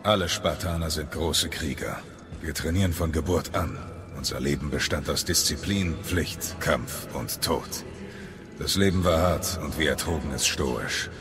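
Very deep stern Spartan warrior, Kratos. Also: male voice. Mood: grave, curt. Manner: grave, terse, measured. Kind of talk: dramatic video game dialogue as audio drama. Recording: music behind the voice.

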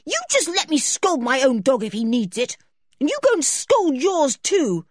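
A woman's voice: high-pitched, sing-song, moderate pace, no room sound, clean studio sound, pitched above the performer's own voice. A woman voicing a hot-tempered, imperious tomboy girl in a children's storybook. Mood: furious scolding. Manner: imperious, shouting and scolding.

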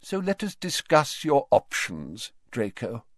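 A man voicing an old, weakened headmaster wizard who speaks lightly and conversationally, sometimes mumbling softly. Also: mid-range voice, wildly swooping pitch, slow pace, no echo, studio quality, voice pushed up, full character voice. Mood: wry. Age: older.

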